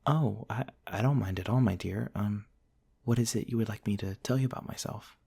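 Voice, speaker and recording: A man playing a gentle, courtly, centuries-old vampire prince in a soft, intimate ASMR roleplay, tender and hesitant with old-fashioned politeness. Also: on the low side, fairly quick, gravelly, husky. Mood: tender, shy.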